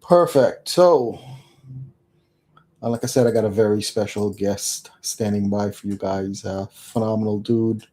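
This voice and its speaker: Mellow-voiced man hosting a live show. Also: on the low side, a little slow. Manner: upbeat, frequent fillers. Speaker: show host. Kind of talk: livestream talk show hosting.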